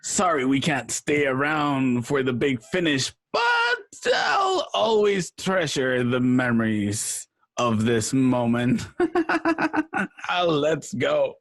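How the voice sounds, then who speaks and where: An actor playing a flamboyant, cackling villain clown who is gleefully cruel, speaking theatrically in an amateur radio drama. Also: male voice, medium pitch, big pitch swings, slow, fairly bright tone, heavily acted. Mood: gloating. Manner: theatrical, frequent maniacal laughter.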